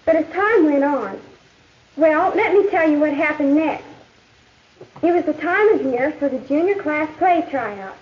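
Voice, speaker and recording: American schoolgirl telling her story in a 50s school film, high pitched, earnest, bit of hiss. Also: lively intonation, unhurried. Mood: earnest, confiding. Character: earnest high school girl student narrating school troubles. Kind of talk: 1950s educational film narration. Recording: muffled, noisy 1950s film soundtrack.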